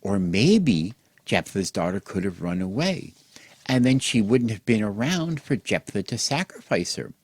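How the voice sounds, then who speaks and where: A man hosting and telling a mock children's bedtime story in an exaggerated gentle, sing-song way, as if speaking to small children. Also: fairly low pitch, big pitch swings, measured pace, slightly rough, dry recording. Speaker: host and storyteller.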